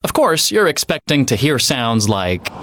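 A man narrating a radio ad in a measured way, over loud ambient sound effects. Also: medium pitch, very animated, very noisy. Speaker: ad announcer.

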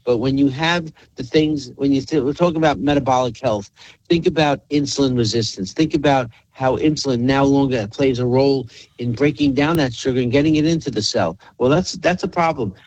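A man's voice: medium pitch, fairly quick, a little mellow, dry recording, lo-fi sound. A man hosting a health radio show, explaining in an emphatic way and repeating phrases for effect. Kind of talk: health talk radio with product pitch.

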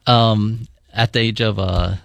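Guest voice: deep, gravelly man in his forties from Hawaii, easygoing and unhurried, dry studio sound. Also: no room sound. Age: 40s. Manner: relaxed, thoughtful, with many fillers. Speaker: guest, paramedic supervisor. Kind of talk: casual interview in studio. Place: radio studio.

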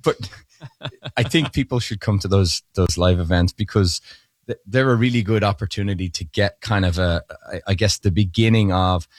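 A man's voice: deep voice, normal speed, dry recording, clean studio sound. A man co-hosting a financial radio talk show, talking casually with frequent restarts. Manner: rambling with restarts.

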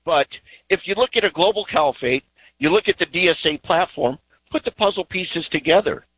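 A man phones in to a talk radio show and rambles earnestly through his point, with plenty of ums. His voice sits a little high for a man, and it sounds dry and close. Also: lively intonation, brisk, slightly rough, no room sound, highs cut off. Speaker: caller.

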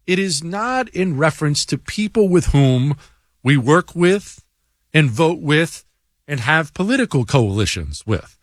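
A man's voice: fairly high pitch, big pitch swings, unhurried, no room sound, studio quality. A man hosting a talk show, giving a blunt, combative monologue and reading listener emails aloud. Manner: blunt and combative, stammers on restarts. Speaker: show host.

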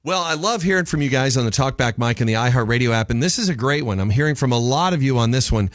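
A man's voice: mid-range voice, lively intonation, fast talker, no room sound. Male radio DJ, dry studio sound. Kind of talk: radio link, talking to listeners. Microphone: close studio microphone.

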